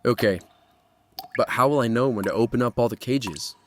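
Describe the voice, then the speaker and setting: A man's voice: medium pitch, moderate pace, natural voice. Man acting worried teen boy, mid-range voice, horror audio drama, faint background noise. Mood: resolving. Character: anxious teenage boy lost in a nightmare world.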